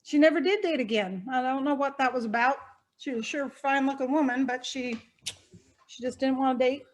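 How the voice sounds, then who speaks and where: A woman voicing a proud, eccentric old woman, rambling folksily through her stories. She has a light Southern country twang and a slightly high voice. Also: normal speed, close to an everyday voice. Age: 70s. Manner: folksy, chatty, laughs often, rambling. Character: spunky eccentric old Hawaiian-born woman, eighty, proud. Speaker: fictional character being interviewed. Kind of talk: improvised in-character interview.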